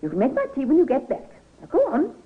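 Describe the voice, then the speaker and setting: Elderly household tyrant; fussy, imperious, animated, muffled vintage radio play sound. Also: female voice, sits high, quick pace. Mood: bossy, scolding. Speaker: actress. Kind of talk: vintage radio drama acting. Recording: muffled old radio recording.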